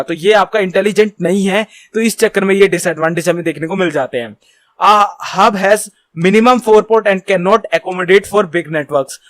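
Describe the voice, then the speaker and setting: A man's voice: high voice, dry recording. A man giving an informal tutorial as an online instructor, explaining points conversationally and mixing in English technical terms. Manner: reads English points slowly and deliberately, then explains conversationally.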